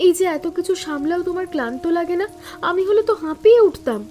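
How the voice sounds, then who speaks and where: Cartoon younger daughter-in-law: high voice, young, anxious, caring, eager, expressive and questioning, faint music underneath. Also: female voice, fast talker. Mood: alarmed, complaining. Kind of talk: animated family story acting.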